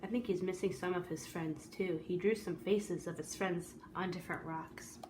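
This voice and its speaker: A woman reading a picture book aloud to young listeners, expressively, pausing to explain and ask questions. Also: on the low side, steady tone, noisy background. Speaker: read-aloud storyteller.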